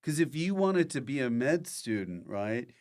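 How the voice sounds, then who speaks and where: A man's voice: medium pitch, very animated, measured pace, darkish tone. A man hosting a podcast in casual comic chat, rambling and joking in a mock-earnest way. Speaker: podcast host.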